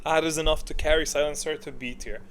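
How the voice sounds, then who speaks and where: A man's voice: a little high, steady tone, fast talker, a bit bright, slight background noise. A man giving casual, opinionated ranking commentary, thinking aloud in an informal way. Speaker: gamer video creator.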